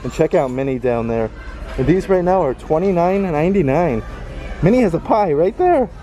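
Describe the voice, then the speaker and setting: A man's voice: fairly high pitch, lively intonation, normal speed, dark tone, some chatter behind. A man filming a casual shopping vlog, reading out prices with upbeat remarks inside a store. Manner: upbeat, reads out prices, frequent "guys" and "look at that". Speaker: vlogger. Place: indoor retail store.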